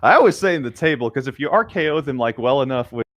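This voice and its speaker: A man's voice: medium pitch, lively intonation, fast, fairly bright tone, no room sound, lo-fi sound. A man chatting casually and playfully with friends, a little hesitant in his phrasing. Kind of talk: casual joking chat.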